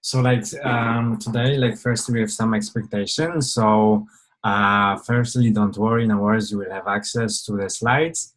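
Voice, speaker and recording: A young man leads an online webinar in a low, flat voice. He talks in an informal, halting way, filling pauses with "uh" and "like" and often restarting a sentence. It sounds like a video call. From Poland. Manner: hesitant, many fillers and restarts. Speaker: webinar presenter.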